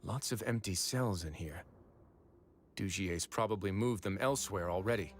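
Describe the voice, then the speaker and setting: A man playing a composed, authoritative prison warden in a video game, measured, formal and philosophical. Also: sits low, music in the background, lightly acted. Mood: businesslike.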